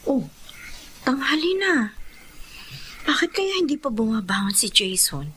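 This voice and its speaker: An actress playing a caring, gentle young elder sister in a Filipino radio drama. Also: female voice, a little high, big pitch swings, unhurried, a little hiss or hum. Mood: worried.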